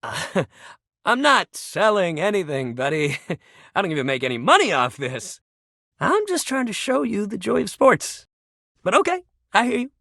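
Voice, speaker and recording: An upbeat, supportive male fitness buddy for a video game. His voice sits fairly high and swings wildly in pitch. He talks in casual bro slang, peppy and encouraging, in a dry recording. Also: very animated, unhurried, gravelly, sharp tone, no echo, heavily acted. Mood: easygoing. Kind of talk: video game character voice lines.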